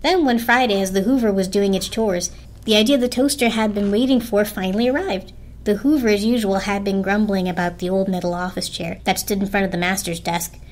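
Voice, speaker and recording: A woman's voice: mid-range voice, fairly quick. A woman narrating a children's story as a dramatic storybook reading. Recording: music behind the voice. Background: music.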